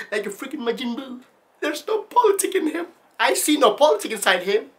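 A young adult man talking casually to camera as a tutorial host, in an animated, jokey, excitable manner. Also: very high-pitched, fairly quick. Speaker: host of video-effects tutorials. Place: small indoor studio room.

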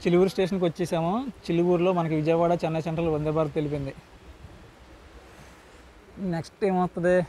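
A man's voice: little pitch movement, fast talker, long pauses, warm tone, low-quality recording. A man with an Andhra Telugu accent gives chatty, casual travel vlog commentary straight to his viewers, recorded on board a train. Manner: chatty, addresses viewers directly. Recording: clear train and outdoor noise. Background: train rumble and outdoor ambience.

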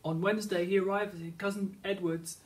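Englishman, children's story narrator, notably high male voice, slightly distant from the microphone. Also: little pitch movement, brisk. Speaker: teacher reading a picture book aloud.

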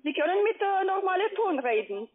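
A woman's voice: very high-pitched, very animated, brisk. A curt, argumentative woman with a foreign accent, working as a call centre agent, not a native German speaker. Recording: tinny telephone line.

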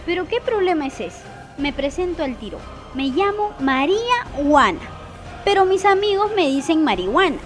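A woman's voice: very high voice, very animated, moderate pace, faint music behind. A woman playing a coaxing, chummy personified drug who poses as a friend in an educational radio play. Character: seductive personified marijuana, female, coaxing false friend. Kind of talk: educational radio soap acting.

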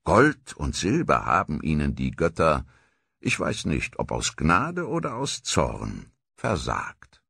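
A man giving dramatic scripted documentary narration with theatrical, deliberate storytelling. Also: extremely low pitch, huge pitch swings, vocal fry, fairly bright tone, breathy edge, no room sound, clean studio sound.

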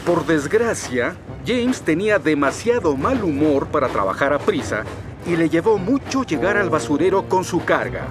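A man acting as storybook narrator of a children's cartoon, with a Latin American accent and expressive storytelling. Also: fairly high pitch, moderate pace, over music.

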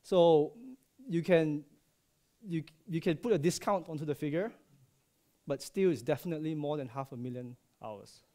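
A man's voice: a little high, normal speed, dark tone. A man in his thirties, from Malaysia, giving a friendly conference talk in a large lecture hall, with plenty of ums and light jokes. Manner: friendly, joking, many ums and uhs. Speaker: subsea processing engineer. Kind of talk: conference presentation. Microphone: headset.

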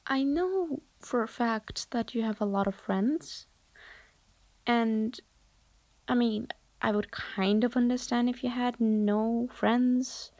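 A young woman voicing an irritated young woman who bluntly rebuffs a persistent admirer, with sarcasm and frequent breathy whispers. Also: fairly high pitch, takes it slowly, a little mellow. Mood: annoyed. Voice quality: often drops to a breathy whisper. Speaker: voice roleplayer. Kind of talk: improvised audio roleplay.

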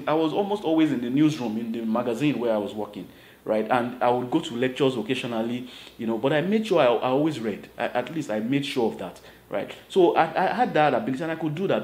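A man's voice: medium pitch, a little mellow. A man in his thirties giving earnest advice to camera from a home office, with an emphatic, preachy manner and frequent "right?" tags. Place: home office with shelves, indoors. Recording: roomy sound with faint ambience.

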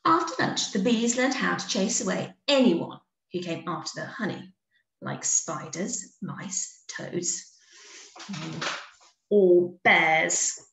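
A woman teacher narrating a children's story aloud in an expressive way. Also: fairly low pitch, takes it slowly, creaky, slight background noise. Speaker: teacher reading to pupils. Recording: echoey room.

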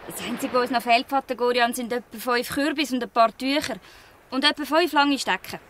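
A woman's voice: fairly high pitch, fairly even intonation, brisk, fairly bright tone, natural voice. A woman with a Swiss German accent playing a bold, scheming young girl who leads a gang, in a radio play. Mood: confident, mischievous. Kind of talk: dialect radio play acting.

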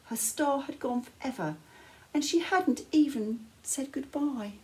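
An older woman reading a children's bedtime story aloud with measured, dramatic phrasing and pauses. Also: high-pitched, rough recording. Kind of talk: expressive picture-book read-aloud. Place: small living room, indoors.

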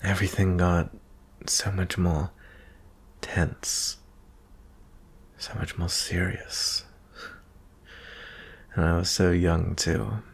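A man playing a gentle, weary young prince in a fantasy ASMR roleplay, speaking softly and intimately in a breathy, husky voice with frequent pauses. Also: low voice, little pitch movement, full character voice. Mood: wistful. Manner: slow, hushed, pausing often.

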